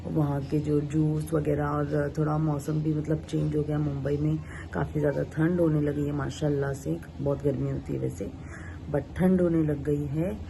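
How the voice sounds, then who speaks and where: Hoarse-voiced young woman vlogging. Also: low-pitched, little pitch movement, moderate pace, background noise. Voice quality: gravelly. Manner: chatty, mixing in English phrases, many fillers. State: hoarse, sore throat. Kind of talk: casual vlog narration.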